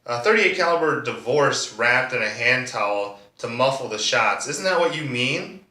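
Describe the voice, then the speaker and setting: A man's voice: mid-range voice, animated, normal speed, sharp tone. A man playing a sardonic, accusing district attorney who questions a witness pointedly in a courtroom monologue. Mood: sarcastic accusing. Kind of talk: monologue performance of a courtroom drama. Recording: roomy echo, distant microphone.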